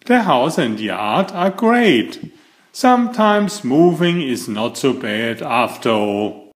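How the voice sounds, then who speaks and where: An older man reading aloud as a children's storyteller, with slow, deliberate, expressive phrasing. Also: mid-range voice, echoey room. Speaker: children's book reader and host.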